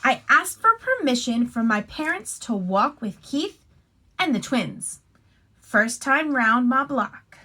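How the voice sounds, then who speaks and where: A woman teacher reading a picture book aloud to young children, expressive and instructive, with casual asides. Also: a little high, takes it slowly, rough recording.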